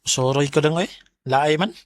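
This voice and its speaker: A man's voice: on the high side, moderate pace, close to an everyday voice. A man with a Visayan Filipino accent playing a young, laid-back older brother who teases and banters in a radio drama. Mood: bantering. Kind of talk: Bisaya radio drama acting.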